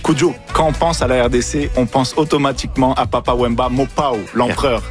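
A man's voice: medium pitch, fairly even intonation, fairly quick, faint music behind. A man hosting a radio interview in a studio, reacting often to his guest. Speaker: radio show host.

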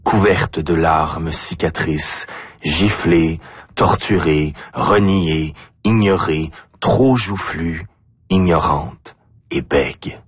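Deep, husky Acadian man reciting poetry. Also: no echo. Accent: Acadian French. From Acadia, New Brunswick, Canada. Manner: deliberate, short phrases with pauses. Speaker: poet. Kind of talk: spoken-word poetry recital.

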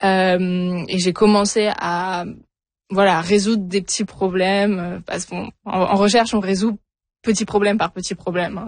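A woman's voice: medium pitch, no room sound. A young woman from France answering interview questions casually, hesitating often with fillers and restarts. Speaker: interview guest, new PhD in physics.

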